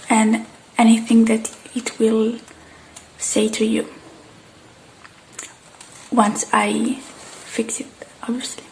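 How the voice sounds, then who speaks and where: Woman acting as a gentle repair tech lady, asmr roleplay, soft highish voice, takes her time, some hiss and clicking behind. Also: low-quality recording. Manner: soft, unhurried, murmuring to herself while working. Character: gentle, polite, methodical female robot repair technician. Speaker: ASMR performer playing a device repair technician.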